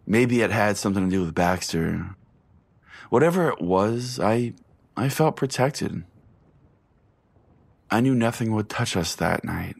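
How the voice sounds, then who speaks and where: A man narrating a first-person story as a podcast host, with deliberate pauses and a dramatic delivery. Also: on the low side, animated, gravelly. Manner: deliberate, pausing. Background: music.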